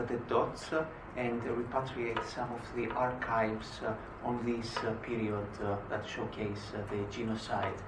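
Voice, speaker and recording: Man giving a halting talk in an echoey hall. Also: mid-range voice. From Greece. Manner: frequent "uh" hesitations. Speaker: conference speaker. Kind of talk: halting conference presentation. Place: conference hall. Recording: echoey hall, distant mic, noisy.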